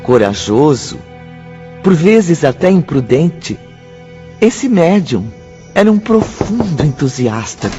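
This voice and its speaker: Slow, solemn male radio drama narrator. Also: on the high side, very animated, mellow. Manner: solemn, deliberate, poetic. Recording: muffled audio with music underneath.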